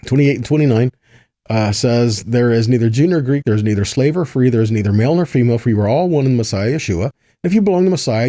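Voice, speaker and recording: A man's voice: mid-range voice, dark tone, dry recording, clean studio sound. A man teaching a weekly Bible lesson in a conversational way, reading scripture passages aloud and checking in with his listeners. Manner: conversational, checks in with "right?" and "see that?". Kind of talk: weekly Torah portion teaching.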